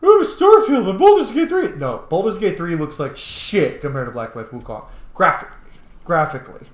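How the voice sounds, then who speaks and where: Male let's-play host: lively swings in pitch, casual and foul-mouthed, roomy echoing sound. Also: normal speed. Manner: sweary, informal, exclamatory. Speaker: video game streamer, host.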